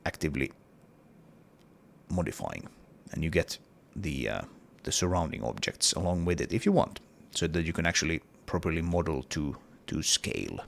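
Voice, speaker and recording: A man's voice: rumbling low, big pitch swings, moderate pace, creaky, a bit bright, slight background noise. A man with a cold and a partly lost voice, talking casually through his game level in a hesitant devlog with many fillers. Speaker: indie game developer.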